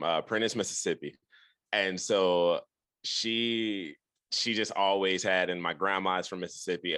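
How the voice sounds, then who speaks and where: A man's voice: sits low, pushed and bright, no room sound. A young man from Detroit, Michigan, speaking as a podcast guest, telling his story in a rambling way with many restarts. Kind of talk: casual storytelling interview.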